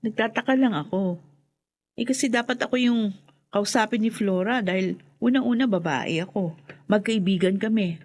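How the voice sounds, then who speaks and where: A woman acting as an inquisitive daughter in a radio drama. She speaks at a middle pitch, puzzled and a little affronted. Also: moderate pace, darkish tone, close to an everyday voice. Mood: puzzled, miffed.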